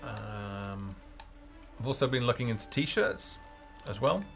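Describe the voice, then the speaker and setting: A man's voice: medium pitch, lively intonation, slow, gravelly. A man hosting a casual game developer live stream, talking off the cuff in a hesitant, rambling way as he thinks aloud. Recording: music playing behind the voice.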